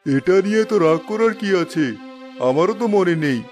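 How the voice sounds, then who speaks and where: A poor village husband from a cartoon , acted by a man, mild and placating. His voice is quite high for a man. Faint music plays behind him. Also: expressive, brisk, a little mellow. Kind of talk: cartoon character dialogue.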